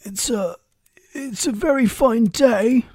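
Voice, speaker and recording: A nervous little storybook rabbit, acted out by a narrator, timid and fearful. The voice is hushed and whispery, a little raised in pitch, with an anxious, secretive manner. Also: vocal fry, slightly rough, no echo, studio quality. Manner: hurried, nervous, stammering.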